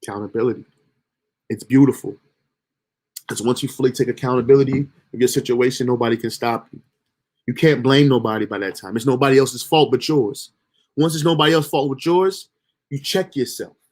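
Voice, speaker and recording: A man's voice: medium pitch, brisk, a little mellow. Young male streamer, mid-range voice; casual, profane, self-motivating monologue. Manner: loose, slangy, motivational self-talk with profanity. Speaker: livestream host.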